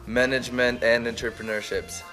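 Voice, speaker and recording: A man's voice: mid-range voice, little pitch movement, normal speed, over music. A young man from Russia, a student speaking enthusiastically in a casual interview. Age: young adult.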